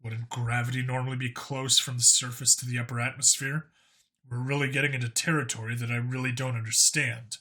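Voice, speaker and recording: A blunt human cop acted by a male reader with a middling pitch and a bright edge. He talks casually and keeps it low-key, with a wry turn, as a character in an audiobook. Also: flat delivery, fairly quick, natural voice. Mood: puzzled.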